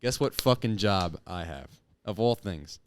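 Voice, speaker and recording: A man's voice: sits low, animated, unhurried, slight background noise. A man in his twenties, a podcast guest chatting casually, laid-back, swearing freely and laughing often. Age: 20s. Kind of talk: casual chat.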